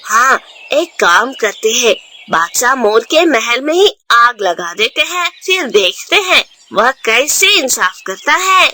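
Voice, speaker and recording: A woman's voice: on the high side, sing-song, slow, hardly any pauses, pushed and bright, full character voice. A woman voicing a defiant, scheming young male bird troublemaker in a cartoon.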